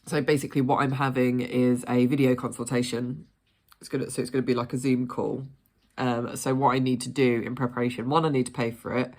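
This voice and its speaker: A woman's voice: very deep voice, flat delivery, fairly quick, darkish tone. Chatty woman with a stuffy nose. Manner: rambling, chatty, self-deprecating with fillers. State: congested, nasal from hay fever. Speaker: fitness vlogger. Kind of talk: casual chat to camera.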